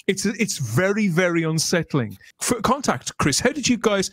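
A man hosts a casual livestream in a fairly high voice. His delivery is wordy and emphatic, and the sound is dry. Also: very animated, moderate pace, a bit bright, breathy edge, no room sound. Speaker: podcast host.

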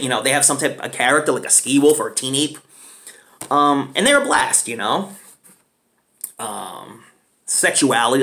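A man's voice: medium pitch, a bit bright. A man in his thirties giving a casual, rambling movie review in a small living room, speaking enthusiastically with many fillers into his webcam mic.

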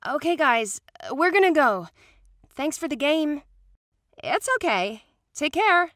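A woman voicing a confident, competitive young girl in a comic dub, with assertive, animated delivery. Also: high-pitched, takes it slowly, no room sound, clean studio sound, heavily acted. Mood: friendly.

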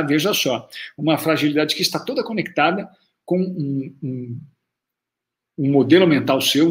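A man's voice: on the high side, fairly bright tone. A Brazilian man in his forties with a Brazilian Portuguese accent, teaching public speaking to camera in an online lesson, stressing points by repeating them. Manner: emphatic, repeats key phrases for stress. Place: small room at home, indoors.

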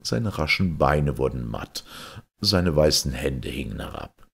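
A man reading a fairy tale aloud as an expressive scripted narration. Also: a bass voice, measured pace, creaky, breathy edge. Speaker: storyteller reading fairy tales.

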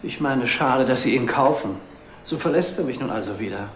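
A middle-aged actor playing a wry, wistful antique dealer, formal and dry, in a 1960s TV drama. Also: male voice, medium pitch, lively intonation, a little fast, lightly acted. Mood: wistful, polite. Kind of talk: 1960s German television drama acting. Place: indoor antique shop set. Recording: noisy old TV film soundtrack.

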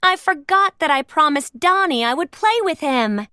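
A woman plays a little girl toy doctor from a storybook, caring and cheerful. The voice is high and lively, earnest in every phrase. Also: moderate pace, no echo, clean studio sound. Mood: dismayed. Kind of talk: children's storybook character reading.

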